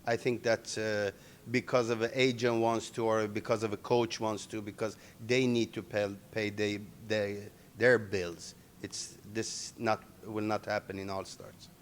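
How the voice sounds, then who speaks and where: A middle-aged man, born in Iran and raised in Sweden, answering interview questions in halting English full of restarts. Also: lowish voice, level tone, a little hiss or hum. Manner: halting non-native English with many restarts. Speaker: interviewee, MMA organization owner.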